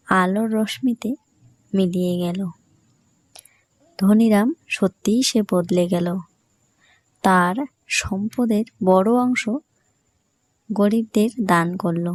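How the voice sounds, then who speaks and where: A woman's voice: medium pitch, no room sound. A woman narrator reading a scripted fairy tale for children.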